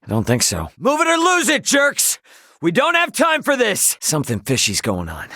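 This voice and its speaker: A man's voice: sits high, huge pitch swings, moderate pace, pushed and bright, no room sound, studio quality, exaggerated voice. An actor voicing a brash, loyal young male spaceship captain in a video game, with an American accent and a casual, cocky, emphatic way of talking. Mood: easygoing, friendly, then angry, shouting.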